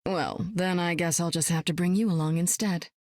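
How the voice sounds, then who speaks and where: A seasoned woman superspy with a cool, wry, self-assured delivery, voiced by an actress for a video game. Her voice sits a little low and is recorded close and dry, with no room around it. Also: fairly even intonation, normal speed, darkish tone, no room sound, lightly acted. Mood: cool, teasing. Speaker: game hero.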